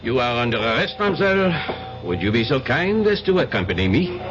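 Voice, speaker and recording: An actor playing a shrewd French police inspector in a radio drama, formal and deliberate, courteous but firm. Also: male voice, mid-range voice, sing-song, music in the background, natural voice. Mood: firm, official. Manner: formal, deliberate, hesitating with uhs. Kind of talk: 1970s radio drama acting. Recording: dull, hissy 1970s radio recording.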